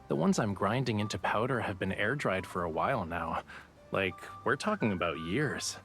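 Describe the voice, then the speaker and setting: A teasing young merman artist from a game, lowish voice, music behind him. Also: animated, normal speed, fairly bright tone. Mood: relaxed, explaining. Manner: teasing, playful. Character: playful teasing young male sea-folk artist. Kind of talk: game voice acting.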